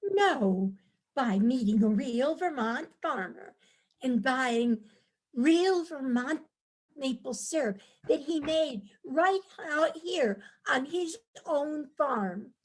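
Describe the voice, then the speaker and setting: A woman's voice: high voice, lively intonation, takes it slowly, poor audio. A woman playing a naive, eager, cheerfully enthusiastic tourist wife in an amateur staged play reading. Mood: delighted. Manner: eager, wide-eyed, chirpy.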